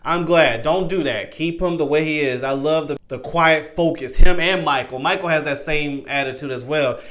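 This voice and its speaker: A man's voice: medium pitch, fairly bright tone. Laid-back man reacting. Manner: laid-back, rambling, conversational. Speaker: reaction video host. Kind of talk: casual reaction commentary.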